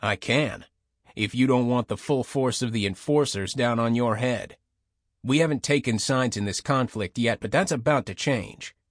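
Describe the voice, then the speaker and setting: A blunt military chief played by a male narrator with a short temper and an air of authority. His mid-pitched voice is dry and close, giving stern orders in an audiobook. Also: normal speed, dry recording, close to an everyday voice. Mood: angry, commanding. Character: commanding, hot-tempered male head of military enforcers.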